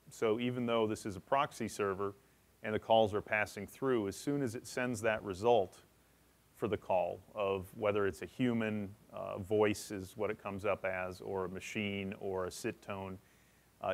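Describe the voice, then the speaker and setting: Man, lowish pitch, conference speaker, technical presentation, matter-of-fact, explanatory. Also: gravelly.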